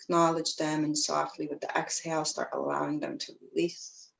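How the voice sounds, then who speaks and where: A woman teaching yoga, guiding breathing and meditation in a gentle, soothing way, with pauses between phrases. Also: deep voice, flat delivery, creaky, poor audio. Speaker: yoga teacher leading a class. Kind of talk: guided breathwork and meditation instruction.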